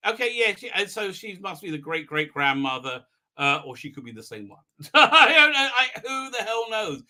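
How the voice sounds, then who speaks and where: Sharp, high-voiced sarcastic man. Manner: rambling, halting, repeats words, sarcastic; exasperated, animated outbursts. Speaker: host of a fan review livestream. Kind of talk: casual mocking episode recap.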